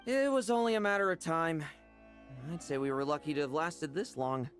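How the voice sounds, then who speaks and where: A man's voice: on the high side, normal speed, faint music behind, close to an everyday voice. An actor playing a reckless, determined young man in a video game, intense and insistent in manner. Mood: resolute.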